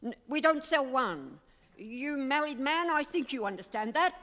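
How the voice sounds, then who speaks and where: Woman playing an excitable high-pitched Italian goat seller. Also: normal speed, full character voice. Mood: stubborn. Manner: broken English, emphatic. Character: excitable Italian immigrant woman selling her beloved goats. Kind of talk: radio play acting. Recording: echoey hall.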